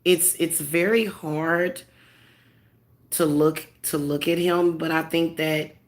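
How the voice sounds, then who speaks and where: Stuffed-up lady medium talking slow and low, lots of ums and restarts. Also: fairly even intonation. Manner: halting, many fillers and restarts. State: congested. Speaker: spiritual medium vlogger. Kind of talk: casual emotional monologue.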